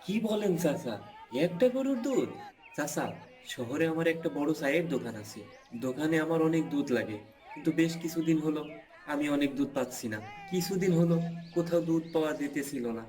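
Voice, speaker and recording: A man's voice: a little high, expressive, a little fast, a little mellow, lightly acted. A man playing an eager tea-shop owner in a cartoon folk tale.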